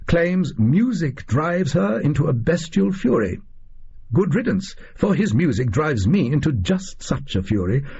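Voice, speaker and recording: A man's voice: medium pitch, gravelly, dark tone, husky, muffled recording, heavily acted. A man voicing a pompous, eccentric explorer-scientist reading out his diary in clipped, self-important phrases, for an audio story.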